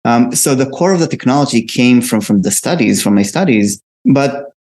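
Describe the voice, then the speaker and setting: A middle-aged man from Israel speaks at an average pitch. He talks like a company founder being interviewed. His delivery is conversational and hesitant, dotted with ums, uhs and small pauses. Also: lively intonation, a little mellow. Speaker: interview guest, tech company co-founder and CEO.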